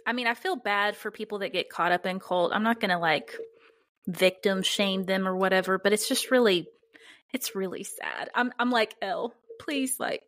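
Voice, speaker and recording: A woman's voice: medium pitch, normal speed, a bit bright, dry recording, clean studio sound. Giggly woman co-hosting a casual podcast. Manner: giggly, often laughing as she talks. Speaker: podcast co-host. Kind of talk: casual banter between co-hosts.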